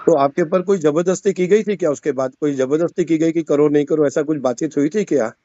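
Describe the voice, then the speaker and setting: A man's voice: fairly high pitch, fast talker, mellow, dry recording. A man on a recorded phone call, insistently pressing the other person with repeated questions. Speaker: caller questioning another man about a dispute. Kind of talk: phone conversation, probing questions. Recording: muffled, band-limited phone call recording.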